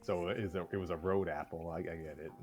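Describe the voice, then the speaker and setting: A man's voice: low voice, steady tone, fast, a little mellow. A man giving casual commentary as co-reader during a story reading. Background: faint music.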